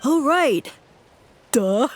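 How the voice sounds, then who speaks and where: A woman voicing a small, excitable, mocking talking mushroom in a video game, drawing out exclamations. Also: high voice, extremely slow, full character voice. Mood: smug, gleeful. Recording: music behind the voice. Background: game music.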